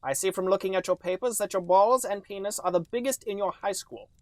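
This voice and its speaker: A man voicing a calm but grave doctor in a comedic story, speaking in stiff, formal, deliberate phrases. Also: sits high, no echo, lightly acted. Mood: calm, businesslike. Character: calm but grave male doctor breaking bad news.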